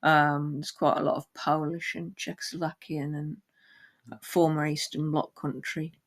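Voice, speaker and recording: A woman's voice: low-pitched, little pitch movement, unhurried. A woman talking about books and poetry informally, as a reader and guest. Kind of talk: poem reading and informal book chat.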